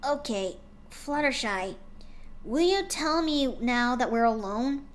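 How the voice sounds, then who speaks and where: Woman reading as a brash young pony mare, blunt and direct, fairly high voice, storybook reading. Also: animated, slow. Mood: demanding. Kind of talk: fanfic reading aloud.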